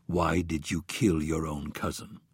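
A man voicing a cold, controlled male assassin who speaks low and quietly with menace. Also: level tone, measured pace, slightly rough, dry recording, studio quality, exaggerated voice.

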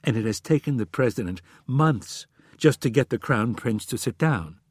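A male narrator reads the part of a shrewd woman running American intelligence in an audiobook thriller. The delivery is measured, diplomatic and firm, with a slightly husky edge and a dry, close sound. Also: mid-range voice, animated, no echo. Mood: neutral, firm. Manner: neutral, measured, controlled.

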